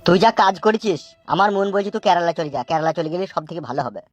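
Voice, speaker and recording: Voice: medium pitch, expressive, very fast, dry recording, faint music behind, close to an everyday voice. A performer voicing an anxious, nagging old father in a comic cartoon, grumbling and scolding. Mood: anxious, advising. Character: exasperated, foul-mouthed old village father, anxious and nagging.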